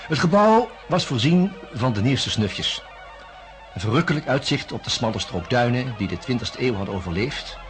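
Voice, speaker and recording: An actor playing a measured, polite male psychiatrist in a radio drama, speaking deliberately and reflectively. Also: medium pitch, animated, over music, natural voice. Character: measured, open-minded male psychiatrist investigating a case. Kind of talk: radio drama acting and first-person narration.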